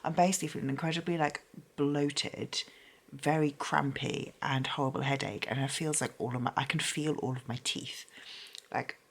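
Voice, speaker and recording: Low-voiced woman chatting casually. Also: steady tone, normal speed, breathy edge. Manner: rambling, lots of fillers and restarts. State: losing her voice, tired and unwell. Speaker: book vlogger. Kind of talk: casual chat.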